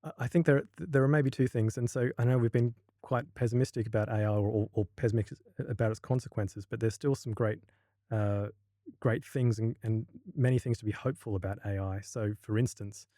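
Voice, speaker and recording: A man's voice: medium pitch, steady tone, fairly quick, dark tone, no room sound, studio quality. An Australian man, a university lecturer, talking as a guest in a casual podcast interview, hesitant and full of restarts. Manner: hesitant, many restarts and fillers.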